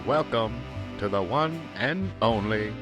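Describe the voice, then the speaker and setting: A man hosting a vintage radio channel, giving a slow, scripted welcome. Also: lowish voice, expressive, music in the background. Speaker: channel host. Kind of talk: scripted show introduction.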